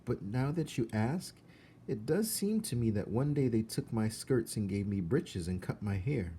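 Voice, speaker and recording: A man voicing sad, faint ghost children in a storybook, with drawn-out, old-fashioned speech. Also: lowish voice, animated, warm tone, slight background noise, pitched above the performer's own voice, close to an everyday voice. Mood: mournful, wistful. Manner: drawn-out, archaic wording.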